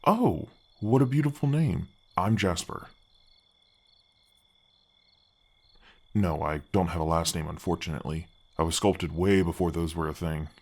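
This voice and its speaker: Gargoyle guardian played by a man, ancient but gentle: very low, effect-deepened voice, friendly, reassuring, slightly awkward fantasy ASMR delivery. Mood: warmly welcoming. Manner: halting, friendly, reassuring, chatty. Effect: deep voice effect.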